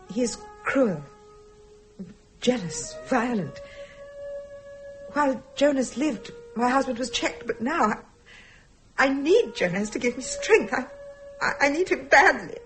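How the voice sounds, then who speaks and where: A desperate, grieving wife in a radio play. Her voice is on the high side, pleading and halting with emotion, over faint music. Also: a bit bright, low-quality recording. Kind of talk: dramatic radio play acting.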